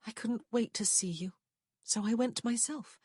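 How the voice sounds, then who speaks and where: A woman's voice: on the high side, normal speed, darkish tone, slightly rough, no room sound, studio quality, voice pushed up. A woman voicing an anxious young lady in love who speaks tenderly and breathily. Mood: confiding.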